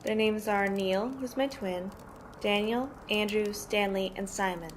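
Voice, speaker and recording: A woman acting as a protective big sister with a medium-pitched voice. She is tough and matter-of-fact, explaining things like an interviewee in an amateur audio play. There is some noise on the recording. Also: a little slow, natural voice. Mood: candid. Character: protective, tough sister mothering five troubled orphaned brothers. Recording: noisy amateur home recording.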